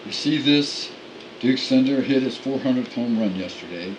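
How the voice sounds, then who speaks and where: A man's voice: medium pitch, expressive, normal speed, noisy background, natural voice. A man voicing a young police detective with an easygoing way of talking. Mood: cheerful. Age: young adult.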